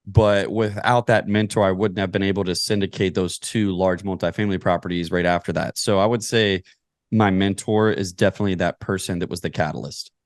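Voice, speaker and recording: A man's voice: low-pitched, fairly even intonation, a little fast, no room sound, clean studio sound. An American man from Kentucky answering interview questions as a podcast guest, explaining things methodically in a conversational way. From Kentucky, United States. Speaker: podcast guest, real estate investor.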